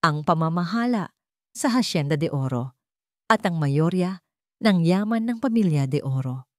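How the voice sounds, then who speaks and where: A woman voicing a solemn, remorseful old male hacienda patriarch in a dramatic audio storybook, speaking gravely and deliberately. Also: fairly low pitch, lively intonation, no room sound, clean studio sound, close to an everyday voice.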